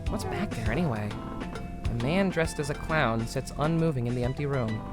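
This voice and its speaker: A man's voice: medium pitch, moderate pace, over loud music, close to an everyday voice. A man reading as a sleepless, brooding young woman. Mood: melodramatic. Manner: melodramatic, menacing read of game text. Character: sleep-deprived young woman turned would-be killer.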